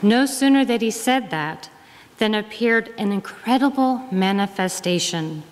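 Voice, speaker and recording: A middle-aged woman, a guest minister, reading aloud to a congregation at a lectern, measured and deliberate, phrase by phrase. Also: mid-range voice. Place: large wood-panelled church hall. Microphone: lectern gooseneck microphone. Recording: reverberant church hall.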